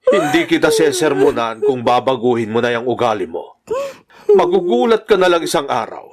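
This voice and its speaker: A stern older father, mid-pitched with very lively intonation. He lectures in exasperation, in the manner of a radio drama. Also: normal speed. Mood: stern, scolding. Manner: reproving, lecturing. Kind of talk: Tagalog radio-style drama acting.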